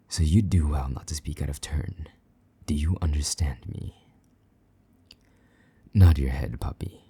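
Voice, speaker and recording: A man plays a jealous, sadistic male vampire knight in an intimate audio drama. His voice is extremely deep and very husky, often sinking into breathy half-whispers. He speaks slowly, giving taunting commands with condescending possessiveness. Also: mellow, exaggerated voice. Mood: menacing, taunting.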